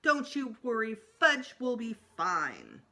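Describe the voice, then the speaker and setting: Woman acting a bossy, high-pitched schoolgirl. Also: huge pitch swings, takes it slowly, sharp tone, heavily acted. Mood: eager. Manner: insistent, pleading, sing-song taunting. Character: bossy, eager fourth-grade girl, teasing and pushy.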